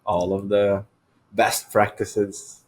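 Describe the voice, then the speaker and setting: Husky low-voiced man, Portuguese native. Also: little pitch movement, slow. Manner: brief, affirming interjections. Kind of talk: casual tutorial chat.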